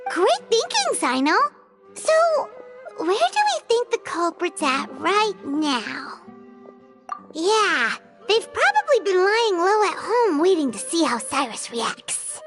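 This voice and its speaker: A tiny floating fairy companion whose voice sits extremely high and leaps all over. She speaks slowly and deliberately, full of eager guesses. Game music plays clearly under her. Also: sing-song, fairly bright tone, full character voice. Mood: curious. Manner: eager, exclaiming, quick to guess. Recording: game audio with music behind.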